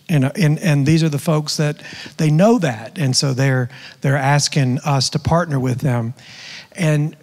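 A middle-aged man, a mission pastor, speaking earnestly on a stage panel with many restarts. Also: mid-range voice. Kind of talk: panel discussion. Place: church stage. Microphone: handheld mic. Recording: long hall reverb.

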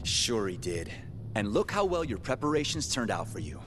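An actor playing a sardonic, streetwise survivor in a zombie video game, sarcastic and skeptical in manner. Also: male voice, lowish voice, big pitch swings, moderate pace, music in the background. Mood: mocking. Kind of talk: video game character acting.

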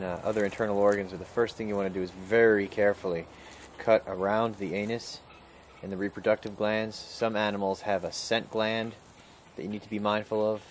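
Man, lowish voice, calm instructor, step-by-step teaching, outdoors, camera mic, faint outdoor noise. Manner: calm, instructive, talks through each step. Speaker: survival skills instructor. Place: outdoors in a wooded clearing.

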